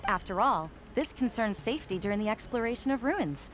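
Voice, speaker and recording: A fairly high, animated young woman played by an actress, a polite and practical game inventor with a reassuring way about her. Faint music runs underneath. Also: a little fast, natural voice.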